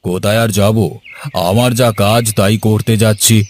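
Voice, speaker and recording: A man's voice: lowish voice, little pitch movement, moderate pace, darkish tone, a little hiss or hum, close to an everyday voice. A man voicing a chatty, curious neighbour in a cartoon. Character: older man, friendly neighbour, nosy.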